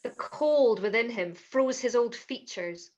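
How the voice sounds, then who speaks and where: Dull-sounding, higher-pitched woman as ghost story narrator. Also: animated, a little slow, a little mellow. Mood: grave, emphatic. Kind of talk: dramatised storybook reading. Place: online video call. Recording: dull video-call audio.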